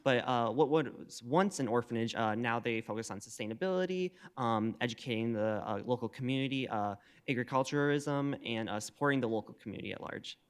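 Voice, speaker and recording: A young man from Minnesota presenting slides at a public meeting, with a halting delivery full of filler sounds. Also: medium pitch, smooth. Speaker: volunteer team member.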